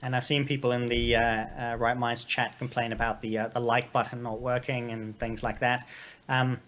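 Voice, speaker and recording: A young adult man, co-hosting a live stream, a little hoarse from illness and speaking haltingly with many ums. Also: mid-range voice, flat delivery, a bit bright. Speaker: co-host. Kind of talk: casual live-stream chat.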